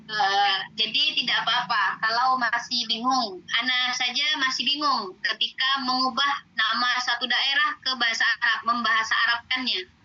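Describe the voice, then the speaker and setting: Bright high-voiced woman teaching patiently. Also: fairly even intonation, highs cut off, slight background noise. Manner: patient, explains slowly with many fillers. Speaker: Arabic teacher. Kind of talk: online class explanation.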